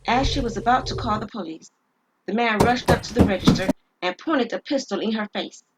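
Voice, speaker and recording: A woman's voice: mid-range voice, a little fast, heavy background noise. A woman narrating a scripted dramatic story as the storyteller.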